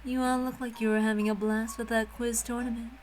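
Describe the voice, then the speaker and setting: Woman acting a dry, taunting witch, fairly high-voiced. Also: level tone, fairly quick, faint music behind, natural voice. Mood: coolly amused. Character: coolly menacing thousand-year-old witch in girl's form. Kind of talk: amateur dramatic reading of a visual novel.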